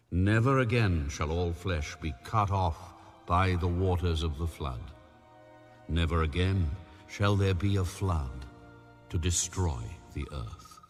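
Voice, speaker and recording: A man narrating scripture in a solemn, measured, grave manner with deliberate pauses. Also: low-pitched, soft background music. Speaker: audio Bible narrator.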